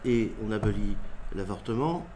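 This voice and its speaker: A man debating skeptically but in a measured way. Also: on the low side, animated, dark tone, slight background noise. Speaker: local participant in a debate. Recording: echoey room.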